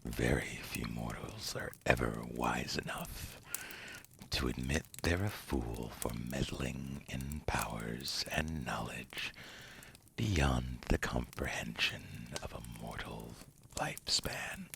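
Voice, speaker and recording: An actor playing a suave, condescending demon dealmaker in an ASMR roleplay, in a gravelly, breathy half-whisper with slow, smug, silky delivery. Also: male voice, a bass voice, expressive, fairly bright tone, a little hiss or hum, exaggerated voice. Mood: smug, probing. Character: seductive, condescending male demon dealmaker, suave otherworldly banker.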